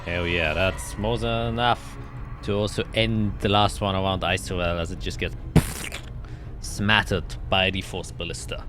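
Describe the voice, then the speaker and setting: A man with a notably low voice narrates a tabletop game as its game master. He talks casually and loosely, with some swearing. Music plays clearly behind him. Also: fairly even intonation, unhurried. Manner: colloquial, swearing. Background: game background music.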